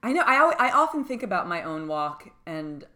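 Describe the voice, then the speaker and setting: A young woman with a deep voice in casual chat, rambling with many fillers and frequent laughs. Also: sing-song, a little fast, bright, forceful tone.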